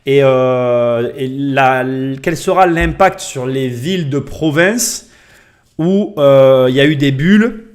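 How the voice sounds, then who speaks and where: A man's voice: mid-range voice, slow pace. A man hosting a live-streamed interview who speaks in a chatty way, with hesitations and easy laughter. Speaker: podcast host.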